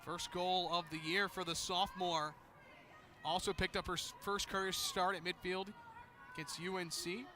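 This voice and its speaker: A man with an American accent delivers live sports play-by-play in a high-set voice. Clear outdoor stadium noise runs behind his commentary. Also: fairly even intonation, moderate pace, pushed and bright. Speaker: play-by-play announcer. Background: outdoor ambience of a game.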